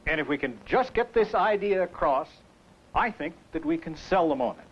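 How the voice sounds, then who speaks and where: A man playing a confident but defensive salesman rehearsing his pitch in a training film. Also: expressive, normal speed, slightly rough. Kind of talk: 1970 training film acting. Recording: muffled 16mm film soundtrack.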